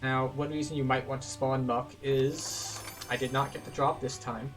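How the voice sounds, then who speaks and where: A man's voice: mid-range voice, level tone, normal speed. A man giving casual, matter-of-fact playthrough commentary as a tour guide, explaining things as he goes. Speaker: video game tour guide. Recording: distant mic, loud game music.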